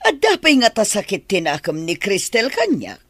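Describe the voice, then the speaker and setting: An actor as a raspy, spiteful village gossip. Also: mid-range voice, wildly swooping pitch, moderate pace, a bit bright, no echo, heavily acted. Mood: scornful. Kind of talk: Ilocano radio-style drama acting.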